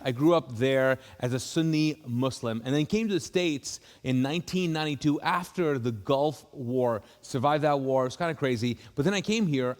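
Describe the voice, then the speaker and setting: A man, a pastor, giving a casual, conversational welcome talk with many fillers and restarts. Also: medium pitch. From Kuwait. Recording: long hall reverb.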